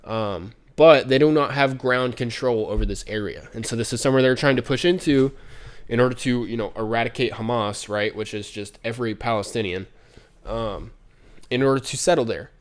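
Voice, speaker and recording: Brief: stuffed-up nasal guy, mid pitch, smooth clear tone, loose stream news rant. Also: moderate pace. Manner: rambling, many ums and restarts. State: congested, nasal from a cold. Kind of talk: casual news commentary stream.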